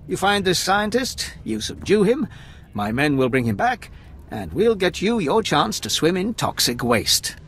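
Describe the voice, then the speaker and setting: A man's voice: high-pitched, big pitch swings, a little slow, a bit bright, a little hiss or hum, exaggerated voice. An actor playing a wry, blustering Imperial officer and anxious father in a video game, speaking in a dramatic, emphatic manner. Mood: wry, approving. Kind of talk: video game character dialogue.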